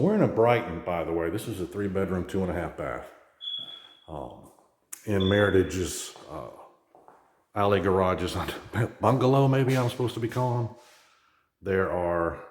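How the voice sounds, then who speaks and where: A real estate agent with a hoarse, worn voice, chatting casually as he walks through an empty new house. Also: deep voice, a little hiss or hum. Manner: chatty, rambling, self-deprecating. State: hoarse, losing his voice. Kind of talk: casual home tour vlog. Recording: echoey empty rooms, faint smoke alarm chirps.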